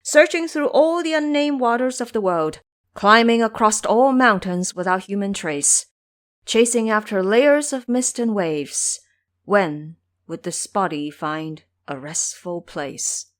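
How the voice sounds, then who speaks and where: A woman's voice: medium pitch, lively intonation, measured pace, close to an everyday voice. A woman voicing a timid, pious male monk master in an audio drama, solemn, careful and fretful. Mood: solemn, weary. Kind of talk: audio drama character acting.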